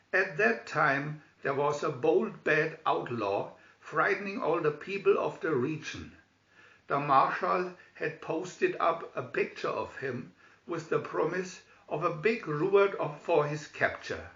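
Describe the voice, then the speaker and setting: A man narrates a children's storybook in an unhurried, theatrical way. His fairly high voice swings widely in pitch. The sound is roomy and a little dull, picked up from a distance. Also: a bit bright. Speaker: storybook reader. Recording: echoey room, distant dull microphone.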